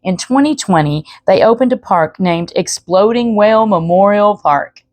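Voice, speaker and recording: A woman's voice: medium pitch, animated, normal speed, darkish tone, no room sound. A woman storyteller reading aloud expressively, with chatty asides to the listener. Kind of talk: expressive read-aloud narration.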